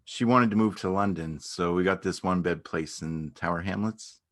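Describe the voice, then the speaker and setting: A man's voice: low voice, normal speed, a bit bright, slight background noise. A man acting an awkward, earnest, unassuming beekeeper in a naturalistic stage reading, speaking in a hesitant, understated way. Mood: matter-of-fact storytelling. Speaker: amateur or local theatre actor.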